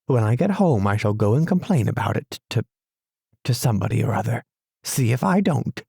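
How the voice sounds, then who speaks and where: A man's voice: medium pitch, sing-song, moderate pace, vocal fry, mellow, breathy edge, no room sound, studio quality, exaggerated voice. A man voicing a fussy, literal-minded male mole in a storybook reading, with a prim, pettish manner. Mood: complaining.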